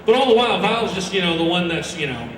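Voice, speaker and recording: A loud young man giving a rambling, animated, informal talk about video games to a live audience, acting out characters as he goes. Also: high voice, fast talker, background noise. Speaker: speaker presenting a ranked list. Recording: very echoey hall, distant mic, loud music behind.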